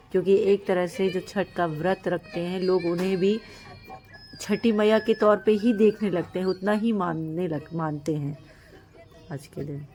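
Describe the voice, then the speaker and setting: A woman with a hoarse, husky voice and a lowish pitch speaks into a handheld phone outdoors on a rooftop. She explains the customs patiently and with reverence. Faint music plays underneath. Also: darkish tone. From Madhya Pradesh, India. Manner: explanatory, devotional. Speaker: vlogger. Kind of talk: casual vlog narration.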